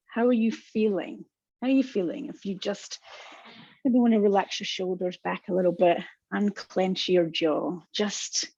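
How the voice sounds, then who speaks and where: A woman's voice: medium pitch, creaky, slightly rough, no echo. A woman with a strong Scottish accent, a counsellor giving a webinar in a reassuring way and talking straight to her listeners. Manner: warm, reassuring, speaks to the audience directly. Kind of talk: webinar presentation. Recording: dull, dry lo-fi webinar audio.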